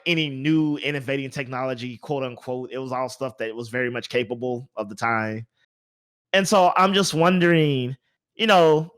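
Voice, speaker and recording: A man's voice: fairly high pitch, a bit bright. A man hosting a casual video game podcast, thinking aloud in a rambling way and repeating his words. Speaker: podcast host.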